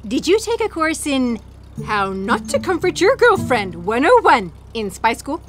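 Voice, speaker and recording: A woman's voice: high voice, very animated, a little slow, over music. A woman acting a spy's sarcastic, exasperated girlfriend on the run in a sci-fi comedy audio drama.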